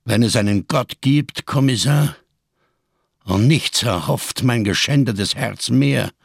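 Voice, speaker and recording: Gravelly, embittered camp survivor. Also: male voice, mid-range voice, slow pace, dry recording, studio quality, full character voice. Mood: grave, defiant. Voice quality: gravelly, husky. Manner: solemn, rhetorical, biblical diction, bitterly sardonic. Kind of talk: German radio crime drama monologue.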